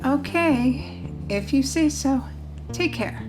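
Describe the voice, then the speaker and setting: A woman plays a concerned, friendly co-worker in an audio drama. Her voice is very high, over clear background music. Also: expressive, slow pace, rough recording.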